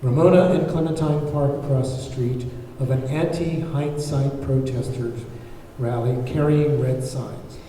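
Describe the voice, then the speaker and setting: A man's voice: mid-range voice, fairly even intonation, measured pace, warm tone. A man narrating the stage directions of a script at a table read. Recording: very echoey hall, far mic, faint noise.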